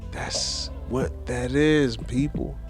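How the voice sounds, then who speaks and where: A man's voice: mid-range voice, very slow, soft background music. A man co-hosting a podcast, musing earnestly in a near-sermon way, using casual slang. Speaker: podcast co-host.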